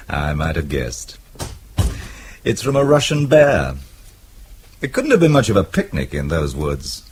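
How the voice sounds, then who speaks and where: Low-voiced, wry amateur spy. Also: male voice, wildly swooping pitch, takes it slowly, pauses often, heavily acted. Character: level-headed, wryly curious husband and amateur spy. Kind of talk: radio drama acting. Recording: noisy radio drama recording.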